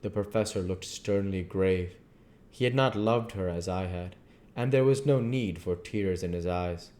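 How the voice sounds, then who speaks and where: A man reading a novel aloud at a measured pace, with occasional slips and restarts. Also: low voice, a little mellow. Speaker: audiobook reader.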